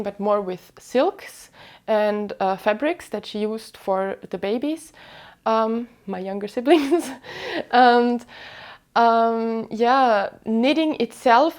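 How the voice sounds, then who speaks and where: A woman's voice: fairly high pitch, slow pace. A woman in her thirties from western Austria, a native Alemannic German speaker with an Austrian accent, chatting casually and reflectively as a podcast host, a little self-deprecating. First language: Vorarlbergerisch Alemannic German. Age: 30s. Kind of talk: casual knitting vlog chat.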